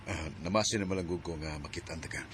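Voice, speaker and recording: A man with a Filipino accent playing a middle-aged father-in-law, a smooth and insistent seducer, in a radio drama. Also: sits low, fast, background noise, close to an everyday voice. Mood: insistent, seductive. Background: loud music.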